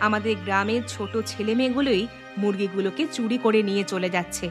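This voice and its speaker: A woman's voice: mid-range voice, quick pace, natural voice. A woman voicing an emotional village wife in a cartoon story, plaintive and dramatic. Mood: matter-of-fact. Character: village wife, emotional, sharp-tongued, motherly. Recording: voice over prominent background music.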